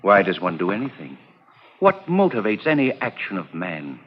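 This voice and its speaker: An actor playing an amused, cold-blooded murderous doctor in old radio style, smug and condescending. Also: male voice, on the low side, wildly swooping pitch, moderate pace, husky, exaggerated voice. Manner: smug, philosophical, condescending, chuckling. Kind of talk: 1940s radio acting. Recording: dull old radio recording.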